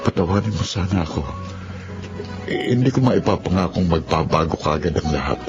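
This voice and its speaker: A very deep-voiced earnest husband. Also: male voice, animated. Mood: remorseful, pleading. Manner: earnest, apologetic, measured delivery. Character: remorseful husband and father, formerly mother-dependent, earnest. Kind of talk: radio drama acting. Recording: dull lo-fi audio under loud music. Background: loud dramatic music.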